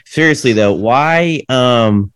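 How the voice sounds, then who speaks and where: A man's voice: mid-range voice, lively intonation, slow, smooth, no echo, clean studio sound. A man appearing as a podcast guest, chatting casually.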